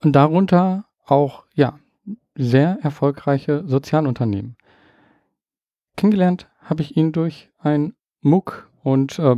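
Podcast host guy, gravelly warm voice, chatty, umms a lot. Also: mid-range voice, dry recording, studio quality. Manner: chatty, many fillers. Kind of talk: casual podcast interview.

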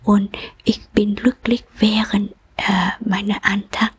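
Interviewte Nonne, Frau mittleren Alters mit vietnamesischem Akzent, hauchig, langsam, ruhig. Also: female voice, medium pitch, flat delivery, slow, breathy edge. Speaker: Buddhist nun, interviewee. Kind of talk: calm reflective interview answers.

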